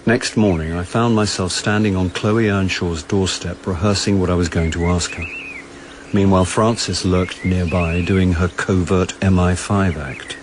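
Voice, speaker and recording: A man's voice: sits low, background noise, natural voice. A man playing a world-weary amateur sleuth, narrating a radio drama in the first person with dry, understated wit.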